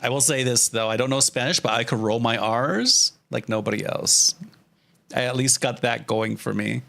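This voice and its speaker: A man streams casually in a bright, forward voice at a medium pitch. He talks off the cuff like an artist chatting while he draws, joking at his own expense and swearing freely. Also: normal speed, vocal fry. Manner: self-deprecating, joking, casually profane. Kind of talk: casual livestream chat while drawing.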